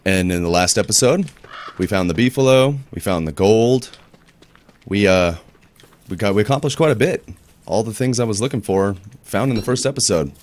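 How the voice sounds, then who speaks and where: Male gaming host, very low deep voice, easygoing rambling let's-play narration. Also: expressive, moderate pace, a little mellow. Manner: rambling, searches for words, laid-back. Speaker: gaming YouTuber. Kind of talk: casual let's-play commentary.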